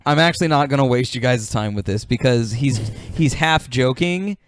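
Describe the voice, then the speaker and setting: A man's voice: mid-range voice, normal speed, clean tone, no room sound. A man hosting a podcast in casual group chat, joking around and reading out listener comments. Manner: jokey, reads out listener picks. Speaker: podcast host.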